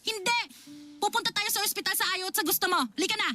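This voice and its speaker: An actress playing a worried, nagging older sister in a radio drama, scolding and insistent with plenty of emotion. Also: female voice, extremely high pitch, steady tone, fast, bright, forceful tone. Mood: alarmed, demanding. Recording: music behind the voice.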